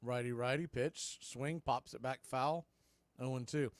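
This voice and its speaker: A man calling live baseball on the radio, mixing clipped pitch calls with conversational, partisan asides. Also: measured pace, dry recording. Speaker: baseball radio play-by-play announcer. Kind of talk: live sports commentary.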